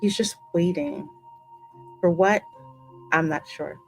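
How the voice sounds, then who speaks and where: A woman with a slightly low voice hosts a game playthrough. She reads dialogue and narration in an expressive, theatrical way, mixed with casual reactions. Soft music sits faintly behind her. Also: slow pace, rough recording. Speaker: gaming host voicing the player character. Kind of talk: dramatic script reading mixed with casual reactions.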